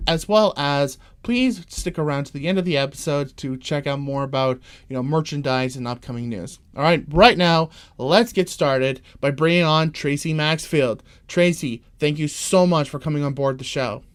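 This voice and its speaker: A man's voice: a little high, moderate pace, few pauses, clear, resonant tone. A Canadian man hosting a podcast, eager and rambling with plenty of fillers. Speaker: podcast host. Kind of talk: enthusiastic podcast intro and interview.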